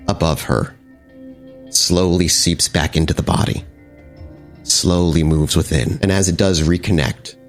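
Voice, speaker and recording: A man narrating a tabletop vampire game as its game master, in a dramatic, halting storytelling manner. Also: sits low.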